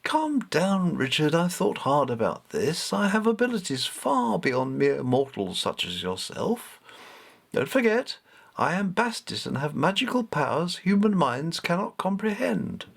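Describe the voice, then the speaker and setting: Storybook magical she-cat, played by a male reader: haughty and grand, formal diction, lifted lively pitch. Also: a little slow, vocal fry, husky, full character voice. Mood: haughty, reassuring. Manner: imperious, formal, condescending. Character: haughty magical female topiary cat goddess, self-assured.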